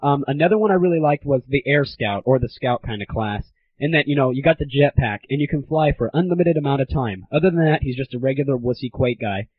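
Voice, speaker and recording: A man's voice: medium pitch, lively intonation, fast, few pauses, slightly rough. A young man hosting a gaming audio show with casual, opinionated reviews in an irreverent, slangy way on a lo-fi recording. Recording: slightly dull lo-fi home recording.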